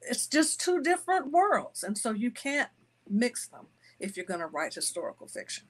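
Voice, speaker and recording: A woman's voice: fairly high pitch, very animated, moderate pace. Emphatic woman author in conversation. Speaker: author and interview guest. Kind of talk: conversational interview answers.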